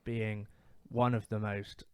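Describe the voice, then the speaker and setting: British male podcast co-host, clean resonant tone, halting and reflective casual opinion, dry sound. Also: fairly low pitch, flat delivery, darkish tone, smooth, no echo. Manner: hesitant, halting, thinks aloud. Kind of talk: casual opinionated gaming chat.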